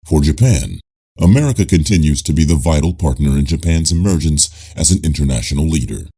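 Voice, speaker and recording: Deep-voiced male audiobook narrator, slightly husky. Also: warm tone. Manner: measured, formal reading. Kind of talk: scripted nonfiction audiobook reading.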